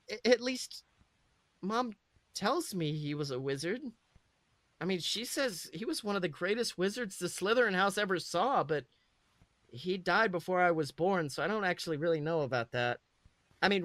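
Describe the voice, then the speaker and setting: A man's voice: high voice, bright, forceful tone, no room sound. A man voicing an eager, proud eleven-year-old wizard boy who tells his own story in a chatty, self-correcting way. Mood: excited. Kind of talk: first-person dramatic storytelling.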